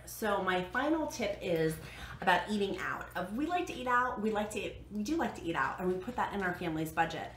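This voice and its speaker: A woman's voice: lowish voice, fairly bright tone. An American woman in her thirties chatting casually and emphatically to camera as a vlog host, sharing practical tips and repeating points for emphasis. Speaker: vlog host giving budget diet tips. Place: living room at home, indoors. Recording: echoey living room with background noise.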